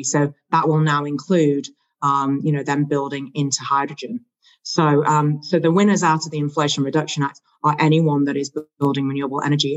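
Finance guest, mid-pitched voice, explanatory, lots of fillers, slightly muffled sound. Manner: explanatory, frequent "you know" fillers. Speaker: investment analyst guest. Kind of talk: conversational explanation.

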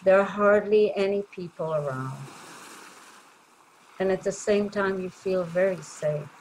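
A woman leading a guided meditation in a gentle, soothing way, leaving long pauses between short phrases. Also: medium pitch, slight background noise, poor audio.

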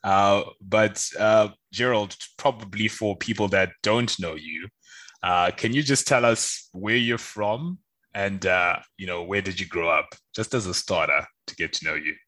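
Low-pitched enthusiastic male podcast host. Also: unhurried, sharp tone. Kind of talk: casual interview chat.

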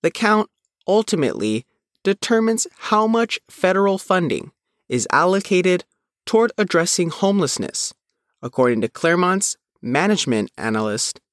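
A man's voice: sits high, sing-song, moderate pace, dry recording, clean studio sound. A man reading a scripted news report as its reporter, in a narrated style. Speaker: reporter reading own written article.

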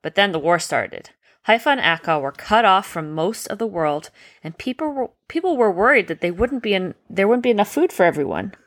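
A woman voicing a gentle, patient uncle who explains things in a bedtime story. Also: mid-range voice, animated, brisk, close to an everyday voice. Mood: gentle pride. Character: gentle, patient uncle, a humble carriage driver.

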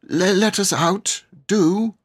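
A man playing a high, strained, earnest schoolboy. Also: takes it slowly. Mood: anxious, strained. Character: earnest young schoolboy, polite but defiant.

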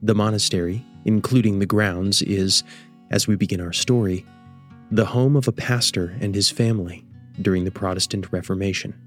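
Male narrator for scripted radio drama, measured storytelling delivery, lower-pitched voice, plucked guitar music behind. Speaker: host and narrator.

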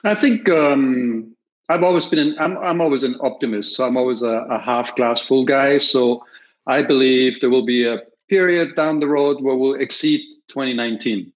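A middle-aged man with an Austrian accent, talking through industry questions in a measured, optimistic way, with plenty of ums. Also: medium pitch. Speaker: podcast host and industry guest. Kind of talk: conversational interview answers. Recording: slightly dull lo-fi audio.

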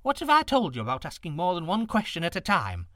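A man's voice: sits high, huge pitch swings, fast talker, sharp tone, no echo, clean studio sound, pitched above the performer's own voice, full character voice. A man voicing a very old, wise woman who speaks in a prim, scolding, solemn way. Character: hundred-year-old wise woman, stern and sorrowful.

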